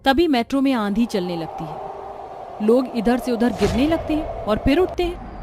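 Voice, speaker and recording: A woman narrating a scripted cartoon horror story in a steady, dramatic storytelling manner. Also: fairly high pitch, normal speed, noisy background.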